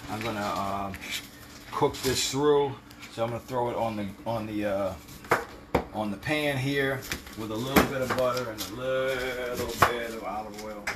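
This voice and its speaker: A middle-aged man hosting a casual home cooking livestream, talking through the steps with relaxed, joking slang. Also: mid-range voice, normal speed, very noisy. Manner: relaxed, jokey, playful slang. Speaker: home cooking show host. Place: home kitchen, indoors. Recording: sizzling pan and kitchen clatter.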